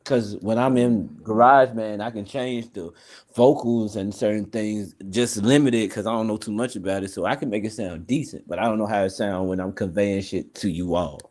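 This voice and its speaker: Rambling low-voiced man in casual talk. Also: fairly even intonation, moderate pace, darkish tone. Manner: rambling, slangy, restarts often. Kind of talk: casual chat.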